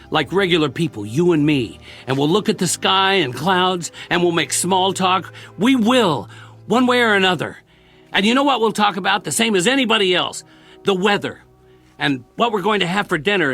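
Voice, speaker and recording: An actor playing a lonely, hopeful war survivor in a television drama, talkative and coaxing, with an American accent. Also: male voice, fairly high pitch, creaky, a bit bright. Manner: talkative, coaxing, halting when moved.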